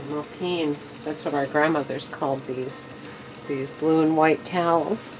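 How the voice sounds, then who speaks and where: A woman's voice: low voice, steady tone, measured pace, music in the background, poor audio. A woman hosting a casual home cooking demonstration in her kitchen, chatty and enthusiastic, talking to her viewers as she works at the stove. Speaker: home cooking show host.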